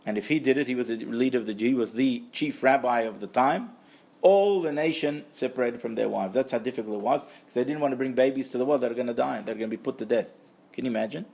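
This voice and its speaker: Man teaching Torah, gravelly creaky voice. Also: medium pitch, darkish tone. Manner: emphatic, repeats phrases for stress. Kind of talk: Torah lecture to a live group.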